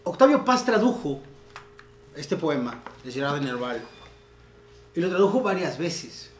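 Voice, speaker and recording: Man, literary talk host, fairly high voice, declaims poems aloud, reverberant room. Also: very animated, a little slow, slight background noise. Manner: reads translated poems aloud with raised, declaiming voice. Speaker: writer leading a literary talk. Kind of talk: literary talk with poetry reading. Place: room in a house.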